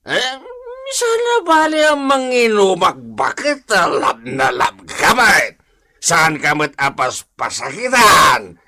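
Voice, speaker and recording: Comedy radio drama: stubborn old drunk husband, Filipino accent, slurred slow babble with wild swings. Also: male voice, high-pitched, wildly swooping pitch, pushed and bright, full character voice. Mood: drunken, teasing.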